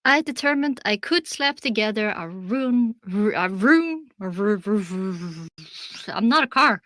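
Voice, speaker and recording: A woman's voice: mid-range voice, animated, a little slow, fairly bright tone, no echo. A woman voice actor in recording outtakes, playfully stuttering over her scripted lines.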